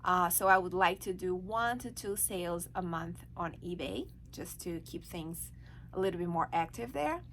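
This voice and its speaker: A woman's voice: lowish voice, normal speed, a bit bright, slight background noise. Brazilian woman, chatty vlog talk. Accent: Brazilian Portuguese. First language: Portuguese. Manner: chatty, with many fillers and restarts. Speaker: online reseller. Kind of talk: casual vlog talk to camera.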